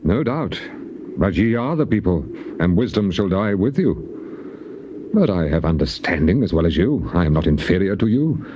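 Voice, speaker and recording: Anguished sufferer, sweeping low voice. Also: male voice, big pitch swings, moderate pace. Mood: bitter sarcasm. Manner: declamatory, archaic solemn phrasing. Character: anguished, bitterly sarcastic suffering righteous man of scripture. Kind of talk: dramatized scripture reading, classical stage acting. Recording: muffled old cassette tape with clear noise.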